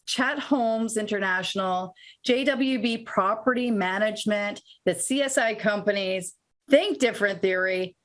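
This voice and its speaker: A woman co-hosting a podcast, introducing a guest in an enthusiastic way and laughing often. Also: medium pitch. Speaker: podcast co-host.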